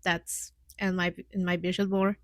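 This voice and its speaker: A woman's voice: fairly low pitch, little pitch movement, normal speed, no echo, clean studio sound. Young Mexican woman podcasting in accented English. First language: Spanish. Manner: hesitant learner's English with frequent little giggles. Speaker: podcast host. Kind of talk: casual solo podcast talk.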